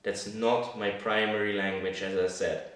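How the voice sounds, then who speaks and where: A man's voice: on the low side, little pitch movement, unhurried, a bit bright. Swiss German man in an echoey room. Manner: thoughtful, searching for words, restarting phrases. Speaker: personal brand creator. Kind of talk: reflective talking-head monologue. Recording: echoey room, mic at a distance.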